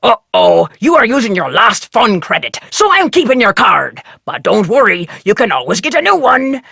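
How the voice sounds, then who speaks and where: A performer voicing a cheery, piratical carnival game announcer with a raspy voice and jaunty, theatrical showmanship. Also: medium pitch, sing-song, moderate pace, bright, forceful tone, no room sound, studio quality, heavily acted. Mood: rousing. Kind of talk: scripted arcade game announcements.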